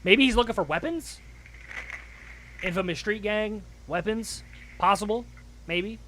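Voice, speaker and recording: A man's voice: sits high, a little slow, fairly bright tone, a little hiss or hum, low-quality recording. A man giving casual, slangy, mocking commentary as he reacts to a horror series, often repeating phrases for emphasis. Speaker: reaction video host.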